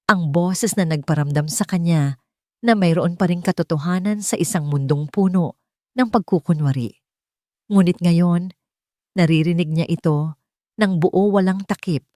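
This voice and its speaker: Low-voiced lady reading a sentimental drama story, really dry studio sound. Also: mellow, dry recording. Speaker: storyteller narrating an audio drama. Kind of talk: scripted dramatic story narration.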